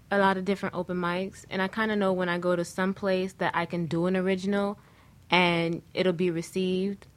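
A woman singer speaking as a guest in a casual discussion, thoughtful and a little hesitant to start. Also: on the low side.

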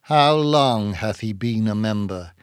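A man's voice: on the low side, animated, takes it slowly, smooth, no echo, studio quality, voice pushed down. A man voicing a stern, pious old Quaker captain with a hollow voice and archaic, questioning speech. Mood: stern, suspicious. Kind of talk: dramatised audiobook reading.

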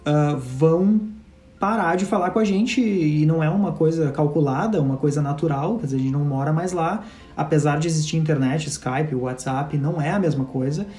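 A man with a mellow, dark voice, musing at length in a thoughtful way. The room is echoey, with faint music underneath. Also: fairly high pitch, a little fast. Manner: reflective, talks in long stretches. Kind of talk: casual conversational chat.

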